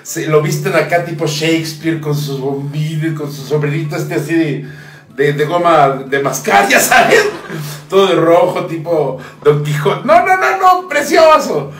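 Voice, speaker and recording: A middle-aged man telling a joke, animated and expressive, in a home office. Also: a little high, measured pace, far from the mic. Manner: expressive, jumps between character voices. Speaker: stand-up comedian. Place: home office with brick wall. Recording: echoey room, mic some way off, faint music.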